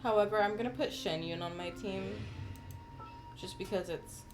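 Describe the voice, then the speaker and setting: A woman's voice: medium pitch, normal speed, music in the background. A woman casually commentating a game livestream and chatting with viewers, enthusiastic and full of gamer slang. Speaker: game streamer.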